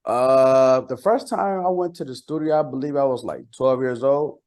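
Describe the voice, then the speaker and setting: Male interview guest from Costa Rica, Spanish first language, mid-pitched voice, informal slangy chat. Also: moderate pace, darkish tone. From San Jose, Costa Rica. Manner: colloquial, peppered with "like" and "you know". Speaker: interview guest, bilingual rapper and songwriter.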